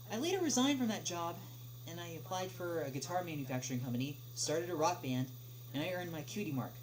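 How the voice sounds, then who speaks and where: A woman hosting a livestream, reading a script informally and chatting, halting and stumbling over words. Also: on the low side. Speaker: livestream host. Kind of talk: informal script table read and chat. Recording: noisy home livestream with hum.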